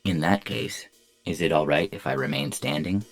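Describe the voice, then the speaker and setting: Man voicing a low, formally polite robot. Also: normal speed, no echo. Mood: uncertain. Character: gentle, scrupulously courteous childlike-eyed robot therapy patient. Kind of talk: dramatized science fiction audio story. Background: music.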